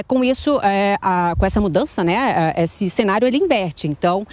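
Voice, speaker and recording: A woman's voice: medium pitch, a little mellow. A young woman field reporter giving a live news report outdoors with a handheld mic, explaining steadily with small fillers. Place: outdoors in a plaza.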